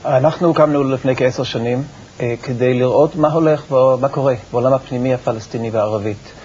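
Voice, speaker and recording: A man giving explanatory answers as an expert guest in a television interview, with a halting, repetitive delivery and a creaky voice. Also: medium pitch, warm tone. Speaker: research institute director, interview guest. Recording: muffled, noisy TV broadcast recording.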